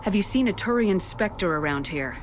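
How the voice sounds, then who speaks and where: A woman playing an authoritative military commander in a video game, brisk and direct. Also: medium pitch, animated, a little hiss or hum, close to an everyday voice. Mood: probing.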